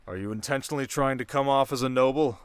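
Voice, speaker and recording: A young desert king, acted out by a man in an intimate ASMR roleplay, with a medium-pitched voice. He is shrewd and protective, teasing in a measured way while keeping a quiet air of command. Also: clean tone, lightly acted. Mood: playful, brisk.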